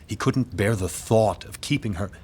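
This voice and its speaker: An actor playing a melancholic, guarded aristocratic lord in a gothic audio drama, speaking in a terse, grave and formal manner. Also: male voice, fairly low pitch, normal speed, darkish tone, husky. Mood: firm, secretive.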